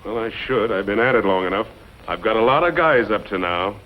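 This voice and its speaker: An actor playing a boastful, cold-blooded outlaw in an old western, talking in slangy, menacing lines. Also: male voice, on the low side, animated, brisk, lightly acted. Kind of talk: 1940s western film acting. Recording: dull, noisy old film soundtrack.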